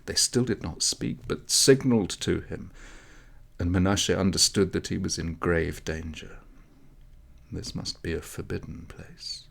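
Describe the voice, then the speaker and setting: A man reading a story aloud as scripted narration, measured, with dramatic pauses. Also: low voice, steady tone, gravelly. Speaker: audiobook reader.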